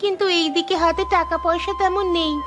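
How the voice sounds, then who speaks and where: A woman's voice: very high-pitched, fairly even intonation, fairly quick. A woman voicing an earnest, respectful, slightly anxious young village daughter in a cartoon. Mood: worried. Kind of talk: cartoon voice acting. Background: music.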